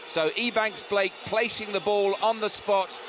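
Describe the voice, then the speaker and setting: A man's voice: high-pitched, unhurried. A man reporting live on a football match from the ground. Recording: outdoor stadium report, loud background noise. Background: stadium ambience.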